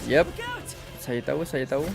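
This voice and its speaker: A man's voice: medium pitch, fairly quick, creaky. A Malaysian-accented man, a native Malay speaker, giving casual, slangy, reactive live commentary as a game streamer. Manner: slangy, reactive, mixes two languages. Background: game music.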